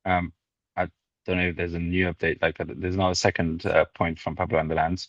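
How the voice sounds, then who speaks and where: Low-voiced guy running a community call, kinda searching for words, muffled audio. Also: level tone, brisk, no echo. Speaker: call moderator. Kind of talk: casual video call moderation.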